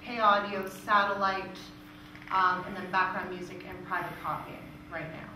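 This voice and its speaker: Female presenter, explaining informally in Q&A; picked up from a distance in a highly reverberant room. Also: level tone, moderate pace. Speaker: presenter from a music royalties organisation. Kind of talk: presentation with audience questions. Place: indoor presentation room with audience. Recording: echoey room, distant mic, noisy.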